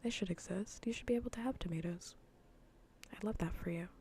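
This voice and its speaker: A woman streaming in a soft, breathy, often whispered ASMR voice, chatting informally and playfully close to the mic. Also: medium pitch, fairly quick, a little hiss or hum. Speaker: streamer hosting a live cozy gaming broadcast. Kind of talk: soft-spoken ASMR casual chat. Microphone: close ASMR microphone.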